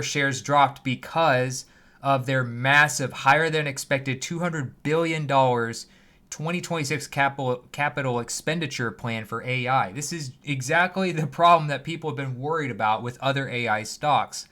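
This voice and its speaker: Man, forward bright voice, mid-range pitch, conversational market commentary, emphatic and opinionated delivery. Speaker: finance commentator, host.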